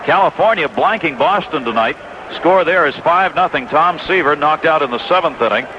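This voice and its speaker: An American man calling live baseball play-by-play on the radio, filling in scores from other games between pitches. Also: expressive, normal speed. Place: stadium broadcast booth. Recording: dull, noisy 1980s AM radio broadcast. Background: loud ballpark ambience and noise.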